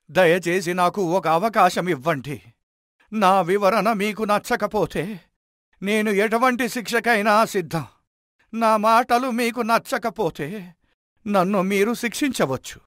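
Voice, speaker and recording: A humble old villager of a moral tale, acted by a man, wise and earnest. The voice sits high for a man, respectful and reassuring as it explains, with a dry sound. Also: unhurried, fairly bright tone, no echo. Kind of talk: dramatized moral storytelling.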